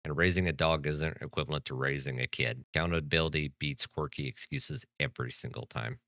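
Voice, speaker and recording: A man's voice: very deep voice, steady tone, a little fast, fairly bright tone, no echo. A man hosting a reaction commentary, blunt and lecturing with a sarcastic edge. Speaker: commentary channel host.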